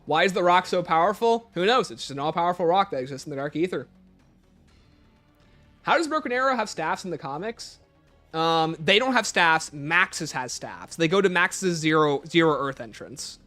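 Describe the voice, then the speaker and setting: Bright, higher-voiced man livestreaming. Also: animated, moderate pace, soft background music. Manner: informal, playful banter with viewers. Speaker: livestream host. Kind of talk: casual livestream chat, answering viewer questions.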